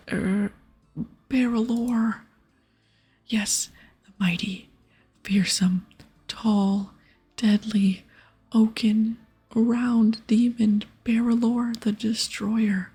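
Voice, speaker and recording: A woman's voice: medium pitch, slightly rough. A woman voicing a scheming male pirate posing as a fallen nobleman, in a theatrical whispered ASMR reading of game dialogue. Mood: dramatic. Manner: theatrical, grandiose storytelling. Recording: soft music behind.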